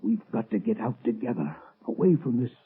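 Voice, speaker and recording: An actor playing a frightened, scheming husband, halting and melodramatic, in a 1930s radio drama. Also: male voice, medium pitch, very animated, slightly rough. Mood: desperate pleading. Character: frightened, guilty scheming husband cornered by his past. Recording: muffled, tinny old radio recording.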